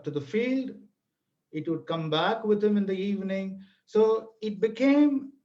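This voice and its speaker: A middle-aged Indian man whose first language is Tamil speaks in a high voice at an unhurried pace. He presents like a dramatic storyteller, deliberate and emphatic. The sound is dull and lo-fi, as on an online webinar. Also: a little mellow. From Tamil Nadu, India. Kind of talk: theatrical read-aloud storytelling in an online lesson.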